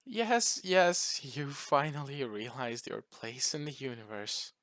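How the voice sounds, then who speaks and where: A man's voice: mid-range voice, slow pace, a bit bright. A man performs a sadistic alien war champion for an audio story. He is haughty and menacing, addressing his victims with mock politeness. The sound is dry and free of room echo. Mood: haughty gloating.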